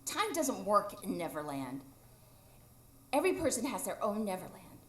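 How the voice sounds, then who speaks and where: A woman's voice: medium pitch, sing-song, moderate pace, close to an everyday voice. A woman acting a playful, carefree and stubborn ageless boy in a live radio drama. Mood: matter-of-fact. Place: improv theatre stage, live audience. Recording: roomy live stage recording.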